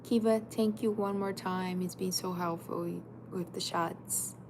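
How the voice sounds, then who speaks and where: A woman's voice: fairly low pitch, fairly even intonation, a little slow. Woman, emphatic life coach. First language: Portuguese. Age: 30s. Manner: emphatic, direct, repetitive second-person urging. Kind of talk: motivational livestream talk. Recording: noisy background.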